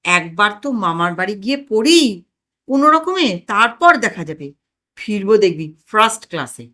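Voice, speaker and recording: A woman's voice: medium pitch, very animated, moderate pace, sharp tone. A woman voicing a blustering, scheming older family man in a comic audio story, exclaiming and sure of himself. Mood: smug.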